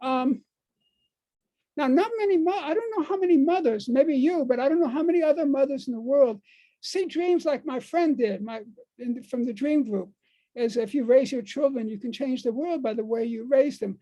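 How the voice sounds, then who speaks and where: An older American man giving an informal talk to a group, speaking haltingly and repeating words and phrases for emphasis. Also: extremely high pitch, dark tone, low-quality recording. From United States. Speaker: speaker on dream work.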